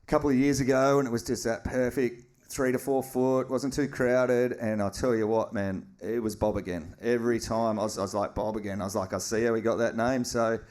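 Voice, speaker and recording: A man's voice: mid-range voice, fairly even intonation, a little fast, hardly any pauses, darkish tone. A man hosting a live podcast, chatty and teasing in slangy banter. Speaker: podcast host.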